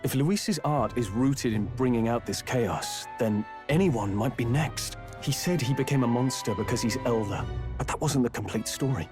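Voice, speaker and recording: An actor playing an earnest, determined young hero in a fantasy video game, talking with urgency and resolve. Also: male voice, medium pitch, normal speed, natural voice. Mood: concerned, thoughtful. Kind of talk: scripted video game voice acting. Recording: loud music behind. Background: game soundtrack music.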